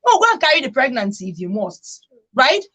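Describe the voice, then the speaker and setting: A woman's voice: high voice, big pitch swings, fairly quick, a bit bright, slightly rough, highs cut off. A woman hosting a radio discussion, speaking emphatically and repeating phrases to drive her points home. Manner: emphatic, repeats phrases for stress, checks with "right?". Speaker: radio talk show host.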